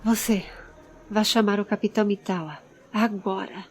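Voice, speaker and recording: A woman's voice: mid-range voice, fairly even intonation, moderate pace, close to an everyday voice. A woman voicing a furious, commanding male warrior in an audio drama, giving curt orders. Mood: curt, angry.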